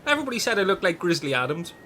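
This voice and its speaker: A man playing an excitable, easily hurt castaway in a comedy radio play, with a rambling, earnest delivery. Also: high-pitched, rapid-fire, slight background noise, full character voice.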